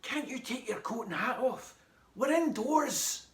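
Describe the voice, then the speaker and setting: A man's voice: high voice, wildly swooping pitch, normal speed, voice pushed up. A man playing an anxious young boy, earnest and urgent, with a voice that rises and falls a lot. The sound is roomy and distant. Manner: urgent, whispering at times.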